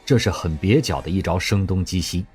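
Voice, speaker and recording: A man narrating an audio drama with expressive storytelling. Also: low-pitched, fairly quick. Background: faint music.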